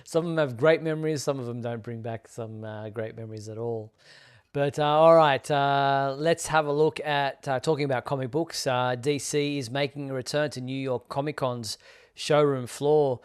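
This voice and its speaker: Clear, smooth-voiced male show host. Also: medium pitch, steady tone, normal speed. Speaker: host of a fan live show. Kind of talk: casual news presenting and chat.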